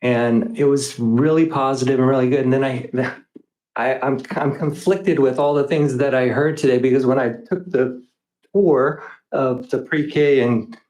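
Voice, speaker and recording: A man's voice: mid-range voice, steady tone, a little slow, darkish tone. A school board trustee starts his report hesitantly, stumbling over repeated starts. His mid-range voice is echoey, as in a meeting hall.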